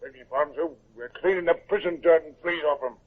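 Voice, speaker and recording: A coarse British working-class seaman, acted by a man from a vintage radio play. The voice is high for a man and raspy, that of a rough lower-deck hand under a captain. It comes through a muffled, very thin-band old recording. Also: quick pace, lightly acted. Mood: deferential. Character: rough, bullying but deferential naval underling. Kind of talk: old-time radio drama acting.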